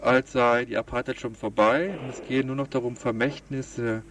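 A man's voice: medium pitch, fairly even intonation, normal speed, fairly bright tone, slight background noise. A man working as a radio host, reading scripted pieces and putting questions in an interview.

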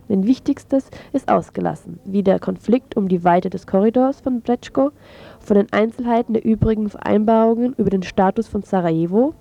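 A woman's voice: mid-range voice, vocal fry, warm tone, dry recording, dull audio. A woman radio presenter reading a scripted press review.

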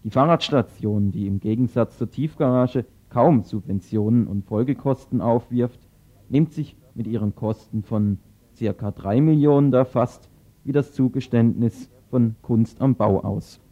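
A man's voice: mid-range voice, unhurried. A man reading a scripted news report as a radio reporter. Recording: slightly dull lo-fi radio recording.

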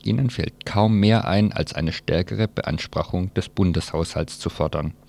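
A man's voice: deep voice, measured pace, vocal fry, a little mellow. A man presenting an informal live radio press review, reading aloud and dropping in loose, colloquial, wry asides.

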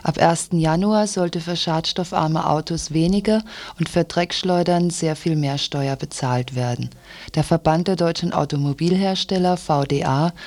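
Lower-pitched woman reading radio news. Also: darkish tone. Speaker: news reader. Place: radio studio.

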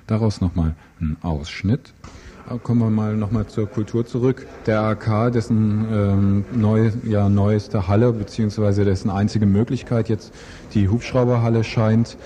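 A man's voice: lowish voice, fairly even intonation, measured pace, mellow. A man presenting an informal local news interview, phrasing his questions loosely and with some hesitation.

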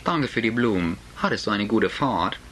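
A man's voice: fairly low pitch, expressive, moderate pace, a bit bright, slight background noise, voice pushed up. A man voicing a nervous middle-aged housewife and mother in an audiobook, anxious and placating, covering with forced cheer.

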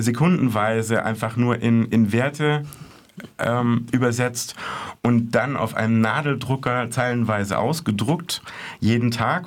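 A man reporting as an art correspondent in casual radio chat, speaking hesitantly with many fillers and restarts, in a radio studio. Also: mid-range voice.

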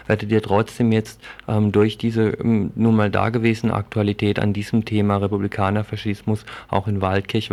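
A male radio interviewer with a gravelly edge to his voice puts long questions in a live news interview. His phrasing is measured and hesitant, and his intonation stays quite flat. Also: on the low side.

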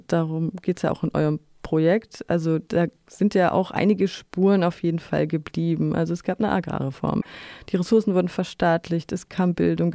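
A woman's voice: on the low side, vocal fry, warm tone, no echo, clean studio sound. A woman conducting a casual, conversational radio interview.

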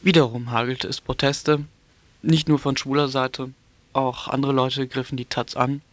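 A man giving critical radio commentary in a halting, sardonic and polemical manner, with frequent hesitations. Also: medium pitch, level tone, dry recording.

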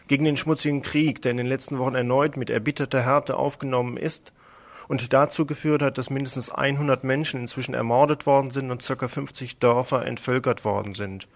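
An earnest man reads political news on the radio at a middle pitch. His delivery is pointed and opinionated, with the odd stumble and restart. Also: fairly even intonation, brisk.

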